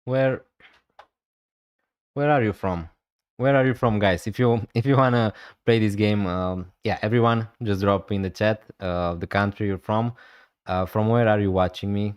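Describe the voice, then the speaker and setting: Relaxed man streaming live. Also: on the low side, normal speed, clean tone, no echo. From Romania. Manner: relaxed, hesitant, many fillers. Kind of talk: casual live stream chat.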